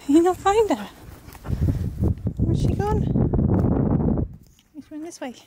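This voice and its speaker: High-voiced woman chatting to her dogs. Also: lively intonation, measured pace, very noisy. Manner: chatty, talking to her dogs in a sing-song voice. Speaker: vlogger with her huskies. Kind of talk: casual vlog narration. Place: holiday cottage and countryside, indoors and out.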